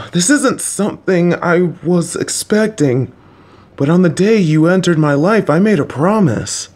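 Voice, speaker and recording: Casting: a man playing a middle-aged dad, awkward and kind, unhurried delivery. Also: high-pitched, animated. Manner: slow, halting, warm dad jokes. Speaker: voice actor playing a father. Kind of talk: audio roleplay.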